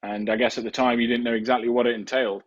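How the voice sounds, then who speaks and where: A man's voice: mid-range voice, flat delivery, very fast, muffled recording. A man hosting a podcast interview, asking long, hesitant questions full of restarts. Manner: hesitant, many fillers and restarts. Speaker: podcast host. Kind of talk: conversational interviewing.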